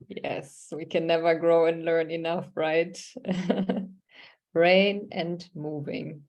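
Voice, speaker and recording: A woman's voice: lowish voice, little pitch movement, extremely slow, long pauses, a little mellow, muffled recording. A woman from Germany, leading an online workshop in a conversational way and reading out participants' answers from the chat. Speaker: workshop facilitator.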